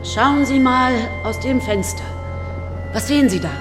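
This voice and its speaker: A woman's voice: sits high, a little slow. An actress playing a cold, commanding Soviet woman scientist who guards a state secret in a radio drama. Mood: cold, mocking. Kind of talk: German radio drama acting. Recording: reverberant hall, music underneath.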